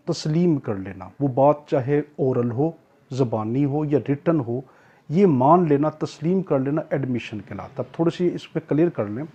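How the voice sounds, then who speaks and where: Husky, higher-pitched man giving a lecture. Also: dark tone. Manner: didactic, repeats key points, asks and answers own questions. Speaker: law tutor. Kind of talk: explanatory exam-prep lecture with everyday examples. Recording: dull, lo-fi recording.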